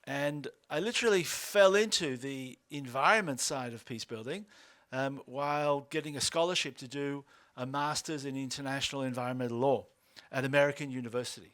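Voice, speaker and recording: A man in his fifties with an Australian accent, a co-founder speaking at a lunch talk, halting with many fillers and restarts. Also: medium pitch, fairly bright tone. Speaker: organization co-founder.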